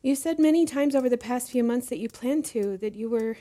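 A woman's voice: on the high side, a little fast, warm tone, close to an everyday voice. A woman playing an anxious protegee eager to please in an audio drama, hesitant, earnest and apologetic. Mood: pleading.

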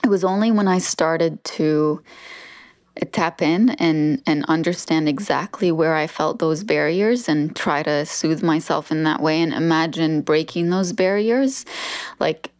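Low-voiced earnest woman, dry sound. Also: a little mellow, no room sound. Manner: earnest, heartfelt, measured. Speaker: podcast guest, author. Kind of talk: reflective conversation.

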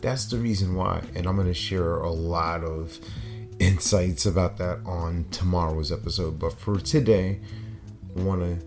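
A middle-aged man with a raspy, slightly hoarse voice, hosting a personal motivational podcast and speaking earnestly in short, paused phrases. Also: deep voice, fairly even intonation, darkish tone, faint music behind. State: hoarse after a concert. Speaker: podcast host.

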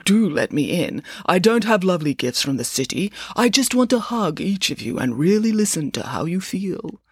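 A woman's voice: on the low side, very animated, moderate pace, no room sound, studio quality. A woman voicing a sly, hungry male wolf in a storybook, bossy and coaxing as he poses as a mother. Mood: falsely sweet. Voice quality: gruff, rough voice, sometimes artificially softened. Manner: coaxing, bossy, falsely sweet.

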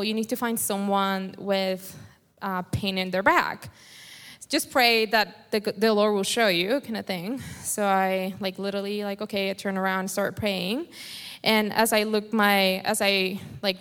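A woman's voice: medium pitch, fairly bright tone, smooth, big-room sound. A woman from Colombia with a Colombian Spanish accent, a native Spanish speaker, telling a church testimony in a chatty way full of "like" fillers. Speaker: church member giving testimony.

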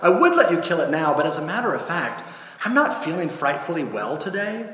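A man's voice: fairly high pitch, big pitch swings, brisk, fairly bright tone, pitched above the performer's own voice. A man voicing a nervous, cowardly ghost, fussily polite and evasive, stammering out flustered excuses and pleas. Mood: flustered, polite pleading. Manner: flustered, stammering, rambling excuses in old-fashioned polite English phrasing. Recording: echoey hall with long reverb.

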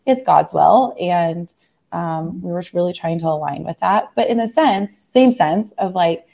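A young adult woman, hoarse from laryngitis, earnestly recounting a personal family story in a conversation, with many fillers and restarts. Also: on the low side, lively intonation, measured pace, a little mellow. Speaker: guest.

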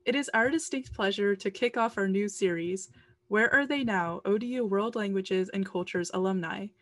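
A woman's voice: medium pitch, moderate pace. A woman co-hosting a podcast, reading a formal series introduction and asking the guest questions. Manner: formal, reads announcements. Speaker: podcast co-host.

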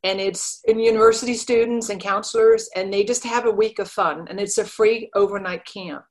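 A middle-aged woman, a podcast guest, chatting casually and telling animated stories with quoted voices. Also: medium pitch, moderate pace. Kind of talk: casual interview chat.

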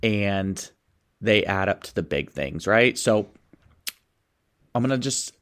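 A man's voice: on the low side, lively intonation, slow pace, a bit bright. A man with a stuffed-up nose hosting a motivational podcast, talking with upbeat enthusiasm as a sales coach. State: stuffed up, sniffling.

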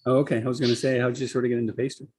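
A man's voice: mid-range voice, flat delivery, very fast, a little mellow, slightly rough. A man co-hosting a casual chat, asking a guest about his past. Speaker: podcast co-host.

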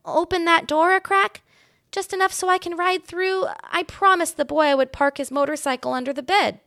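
Ralph, a woman doing a high, cocky little mouse. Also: fairly even intonation, moderate pace, a bit bright, no echo, clean studio sound. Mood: eager. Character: young male mouse, small, cocky and adventurous.

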